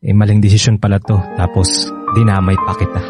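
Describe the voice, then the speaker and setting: A man playing a caring, slightly unsure young partner in a radio drama, speaking casually and gently with a Filipino accent. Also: lowish voice, flat delivery, fairly quick, loud music behind the voice, lightly acted. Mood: worried, tender.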